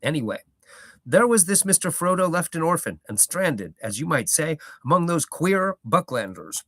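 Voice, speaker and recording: A man's voice: a little high, sing-song, moderate pace, a bit bright, no echo, clean studio sound, voice pushed up. A man voicing a garrulous old male hobbit gardener who rambles in a folksy, opinionated way. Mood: scolding.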